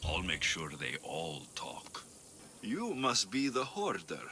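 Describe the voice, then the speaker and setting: A man playing a wry, commanding mercenary in a video game cutscene, gruff in manner. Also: low-pitched, huge pitch swings, takes it slowly, sharp tone, a little hiss or hum, heavily acted. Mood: firm, sardonic.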